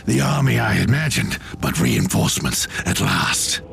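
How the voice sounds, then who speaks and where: An actor playing a battle-hardened, proud space marine sergeant in a video game, speaking in a grandiose, dryly sardonic way. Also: male voice, on the low side, big pitch swings, moderate pace, vocal fry, background noise, heavily acted. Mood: weary, sardonic. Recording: loud music behind the voice. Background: loud game music.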